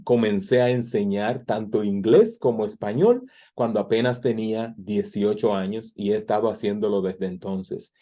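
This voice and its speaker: A man in his forties with a Dominican Spanish accent, his voice mellow and soft-edged. He talks earnestly and a little haltingly, restarting phrases. He introduces himself as a tutor into the camera of his home teaching office. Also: mid-range voice. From Dominican Republic. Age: 40s. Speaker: language tutor. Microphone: computer camera.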